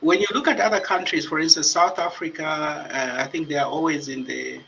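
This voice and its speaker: Bright gravelly male panel host. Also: a little fast, highs cut off, a little hiss or hum. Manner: hesitant, frequent fillers, lively pitch swings. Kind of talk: moderating a panel.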